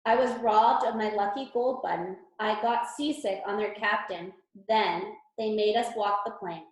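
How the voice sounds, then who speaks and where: A woman's voice: medium pitch, normal speed, lightly acted. Woman playing a grumpy stuffed toy, echoey room. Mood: miserable, sarcastic. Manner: sardonic, exasperated. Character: Huggie, a grumpy, long-suffering, sarcastic stuffed toy bear. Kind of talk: children's storybook read-aloud.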